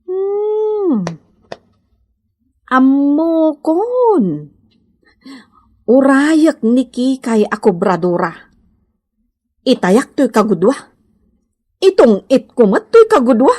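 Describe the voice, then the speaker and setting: A struggling Ilocano housewife in a radio comedy drama. Her high voice swoops widely as she whines and exclaims, drawing out words at an unhurried pace. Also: very animated, mellow, heavily acted. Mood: musing, hopeful. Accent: Ilocano Filipino. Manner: exclaiming, wailing, drawn-out. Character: struggling, whiny, dramatic Ilocano wife and mother, small-time gambler.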